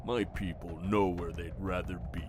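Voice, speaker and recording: A man voicing a gruff, indignant bearded dwarf leader in a fantasy audio drama, blunt and combative. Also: low-pitched, fairly even intonation, normal speed, background noise, natural voice. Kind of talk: fantasy audio roleplay acting.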